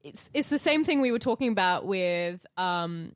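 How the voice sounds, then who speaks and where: A woman with an Australian accent chats informally. Her voice is a little on the low side, and the recording is close and dry. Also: animated, moderate pace, no echo. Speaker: actor and podcast cast member. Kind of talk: casual chat.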